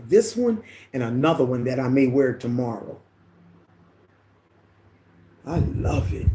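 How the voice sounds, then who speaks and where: A woman with a deep voice hosts a casual livestream. She greets viewers by name, reads their comments aloud, and drifts into preachy asides. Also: a little mellow, slight background noise. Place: living in the Caribbean.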